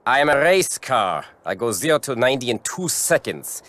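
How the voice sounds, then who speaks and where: A man's voice: mid-range voice, sing-song, normal speed, a bit bright. A man voicing a boastful, impatient male race car who speaks stiffly and formally. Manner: stiff, proud, formal phrasing. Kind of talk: animated game voice acting.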